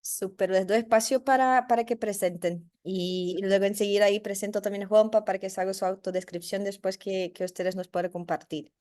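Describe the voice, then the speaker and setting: A woman's voice: mid-range voice, fairly even intonation, brisk, hardly any pauses, dark tone. A woman moderating an online session, introducing the guest speakers by reading their bios. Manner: reads speaker bios formally. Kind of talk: webinar moderation.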